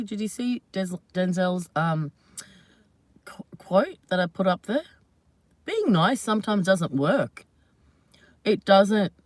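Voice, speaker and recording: A woman hosting her own channel, chatting casually and at length to her viewers, slightly hoarse, rambling and laughing often. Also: medium pitch, no room sound. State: hoarse from screaming. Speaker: channel host. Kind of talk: casual live vlog chat to viewers.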